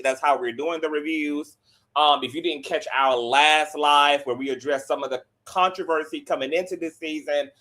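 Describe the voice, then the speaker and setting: A man's voice: fairly high pitch, a bit bright. A man hosting a celebrity gossip live stream in a campy, sassy manner, sounding nasal and sniffly from allergies, speaking. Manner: campy, sassy, calls viewers girl and child. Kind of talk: casual live stream chat.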